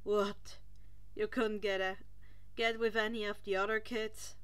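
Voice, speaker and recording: A woman voicing a guarded, sullen, self-doubting teenage boy in an audiobook story, blunt and halting. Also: medium pitch, little pitch movement, fairly bright tone, clean tone, lightly acted. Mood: glaring, blunt. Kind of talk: expressive audiobook character reading.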